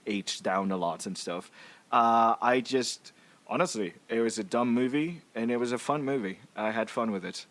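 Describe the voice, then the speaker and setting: A man chats as a podcast co-host in a loose, informal review style. His pitch is average for a man, and his delivery is easygoing and conversational. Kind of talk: casual film review chat.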